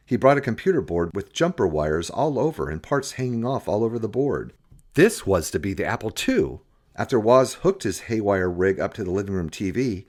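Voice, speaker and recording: A man reading a first-person history as scripted audiobook narration, in an expressive storytelling manner. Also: lowish voice. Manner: expressive storytelling, acts out quoted dialogue.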